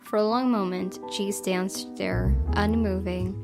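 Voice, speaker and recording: A woman hosting a gaming video, reading visual novel narration aloud in a measured, dramatic way. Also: mid-range voice, fairly even intonation, creaky. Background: game music.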